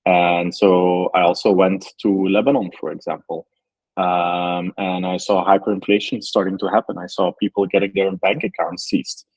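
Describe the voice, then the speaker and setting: Husky-voiced Belgian-raised founder, thoughtful. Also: male voice, deep voice, level tone, moderate pace, darkish tone, no room sound, rough recording. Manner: thoughtful, many ums and likes. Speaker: startup founder. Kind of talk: interview answers.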